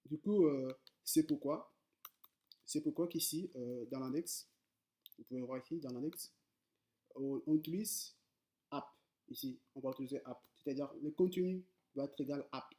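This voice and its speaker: A man explaining code to his viewers in French, which is not his first language. He hesitates often, repeats words and fills pauses. His pitch sits in a middling range, and his way of talking stays informal and direct. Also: warm tone. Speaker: coding tutor. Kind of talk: casual screen-recorded coding tutorial.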